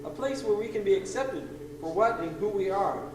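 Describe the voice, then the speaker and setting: A man playing a folksy, resigned pig ensign on a pirate ship, stuttering and halting, in old-time radio comedy style. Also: high voice, lively intonation. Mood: wistful, resigned. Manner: folksy, halting, eager to comply. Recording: noisy, echoey old tape, distant mic.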